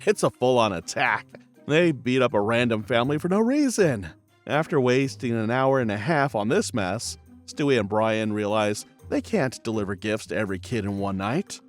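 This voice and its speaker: A man's voice: medium pitch, expressive, moderate pace, faint music behind. A man narrating a cartoon recap in a playful, chatty scripted style. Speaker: channel narrator.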